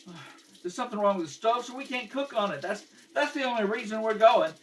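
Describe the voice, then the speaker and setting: A man's voice: high-pitched, lively intonation, fast talker, voice pushed up. A man voicing a proud, earnest young boy who is protective of his family in a radio drama read-through. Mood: defiant, insistent. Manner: earnest, insistent. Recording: distant mic in a home kitchen.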